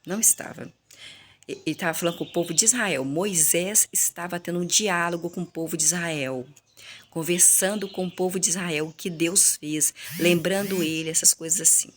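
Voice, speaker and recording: A woman's voice: on the low side, normal speed, a little hiss or hum. A Brazilian woman teaching the Bible informally, speaking straight to viewers, repeating herself and answering her own questions. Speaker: Bible teacher hosting a recorded reading.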